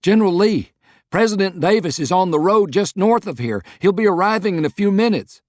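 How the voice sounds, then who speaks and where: A man voicing an eager, deferential military aide in an audiobook, urgent and excited. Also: high voice, a little fast, no room sound, clean studio sound, pitched above the performer's own voice. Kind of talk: audiobook character dialogue.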